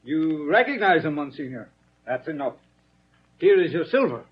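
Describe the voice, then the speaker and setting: Officious gendarme, high and raspy, commanding, on dull old radio recording. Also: male voice, very animated, normal speed. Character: brusque, barking police officer hauling in a prisoner. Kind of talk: 1930s radio drama acting. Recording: muffled vintage 1930s radio recording.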